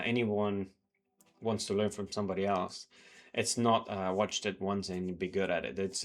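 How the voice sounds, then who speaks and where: A man slightly sick, talking casually through his trading journal and charts, rambling with many fillers and restarts. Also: fairly low pitch. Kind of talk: casual talk to camera, reviewing charts. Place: home in New Zealand.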